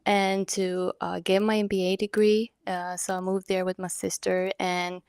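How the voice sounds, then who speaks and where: A woman from Denmark in her thirties tells her story as a guest in an even, flat tone. She speaks earnestly, filling pauses with uhs. The sound is dry and close, as if over a video call. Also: medium pitch, clear, resonant tone, no echo. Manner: earnest, quick laughs, many uhs. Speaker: podcast co-host guest. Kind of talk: interview storytelling.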